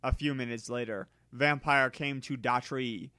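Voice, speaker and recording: A man narrates fiction with theatrical, exaggerated emphasis. His voice is bright and forceful at an ordinary pitch, and the recording is dry, with hardly any room in it. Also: fairly even intonation, measured pace, no echo. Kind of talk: dramatic reading of fan fiction.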